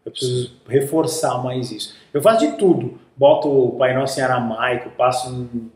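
A man's voice: medium pitch, moderate pace, reverberant. A man with a Brazilian accent carrying a slight Italian lilt, a guest who tells stories in a casual interview and acts out quotes as he goes. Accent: Brazilian Portuguese, slightly Italian-tinged. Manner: animated storyteller, acts out quotes.

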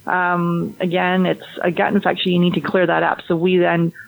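Very gravelly voice, fertility practitioner, explanatory interview answers, frequent restarts. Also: mid-range voice, level tone, normal speed, poor audio. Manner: explanatory, with many restarts and repeated words.

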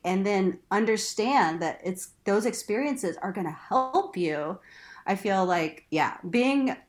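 A woman from Texas speaks as a guest in a relaxed, candid interview. She is playful and blunt, pokes fun at herself, and swears freely in a mid-pitched voice. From Texas, United States. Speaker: interview guest, burlesque performer and instructor. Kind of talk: casual, candid conversation.

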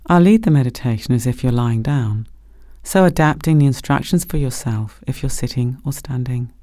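A man gently leading a guided body scan meditation as a meditation teacher, speaking slowly and soothingly. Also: medium pitch, no room sound, studio quality. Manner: gentle, slow, soothing instruction.

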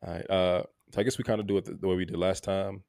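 A man co-hosting a podcast in a casual, reflective way, halting and repeating words, often checking in with "right?". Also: fairly even intonation, a little mellow, no echo. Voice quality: gravelly low voice. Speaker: podcast co-host.

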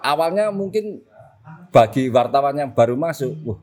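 A man's voice: medium pitch, normal speed. Surabaya guy chatting easy, jokes around, mixes in javanese bits. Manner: relaxed, joking, drops into Javanese phrases. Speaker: journalist guest. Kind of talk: casual interview chat.

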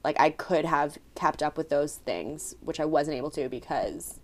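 A woman's voice: low voice, moderate pace. A woman in her late twenties chatting casually as a podcast co-host, swearing freely, laughing often and filling her talk with "like". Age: late 20s. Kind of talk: casual chat.

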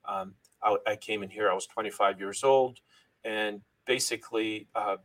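A man's voice: on the low side, steady tone, moderate pace, a bit bright, low-quality recording. A middle-aged man originally from Lebanon, a guest in an interview, telling his life story earnestly and thanking God often, with frequent restarts.

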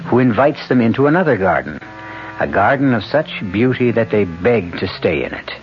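A man's voice: on the low side, big pitch swings, moderate pace, muffled recording. A man reading scripted radio narration as an announcer. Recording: band-limited old radio broadcast with music.

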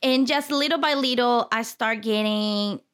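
A woman's voice: a little high, unhurried, fairly bright tone, smooth. A woman in her thirties with a Mexican Spanish accent, chatting as a podcast guest, giggly, with loose grammar. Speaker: podcast guest, lifestyle blogger. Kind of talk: casual interview chat.

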